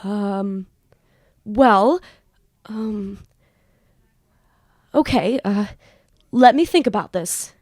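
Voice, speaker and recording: A woman's voice: medium pitch, very slow, pauses often, a little mellow, no echo, studio quality. A woman acting an anxious, defensive young student magician in an amateur audio drama, earnest and self-pitying. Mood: hesitant, torn.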